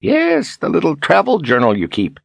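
A man's voice: a little high, wildly swooping pitch, normal speed, gravelly, no room sound, pitched above the performer's own voice, full character voice. A man voicing a boastful, sly old wizard who talks in a folksy, grandiose way, as in an audiobook. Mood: indulgent, boastful. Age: older. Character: theatrical, boastful, sly old wizard posing as fortune-teller. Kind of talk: audiobook character acting.

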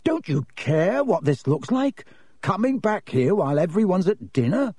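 Man acting teenage boy prefect, storybook character, pompous, officious, indignant, raised pitch. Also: very animated, measured pace, darkish tone, no room sound.

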